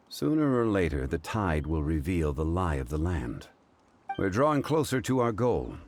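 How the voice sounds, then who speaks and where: A calm formal old-soul scholar type, super deep and unhurried. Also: male voice, heavily acted. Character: calm, formal, ancient-souled scholarly man.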